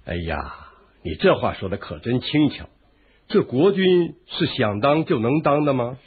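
An actor playing a timid, lecherous royal uncle in a radio drama, fretful, whining and easily alarmed. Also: male voice, medium pitch, big pitch swings, a little slow, breathy edge, exaggerated voice. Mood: doubtful. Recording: muffled, tinny phone-like radio drama recording.

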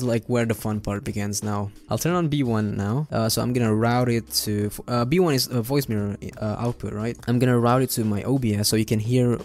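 A young adult man hosting an informal software tutorial, explaining things step by step in a casual way. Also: fairly low pitch. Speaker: tutorial host. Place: indoor room at a desk.

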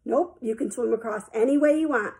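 A woman's voice: fairly high pitch, fairly quick, a little mellow, close to an everyday voice. A woman voicing an encouraging, insistent male swimming teacher in a children's story, coaxing and emphatic. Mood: reassuring.

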